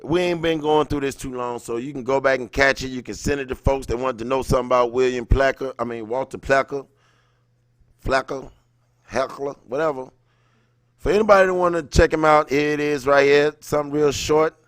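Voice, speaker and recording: A man with a medium-pitched voice hosts a casual livestream. He talks in loose, slangy phrases, addressing viewers directly as he reads and comments. The sound is dry, with little room around him. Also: steady tone, a little fast, dry recording. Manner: informal, slangy, greets viewers by name mid-thought. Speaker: livestream host. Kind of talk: casual livestream chat with read-aloud passages.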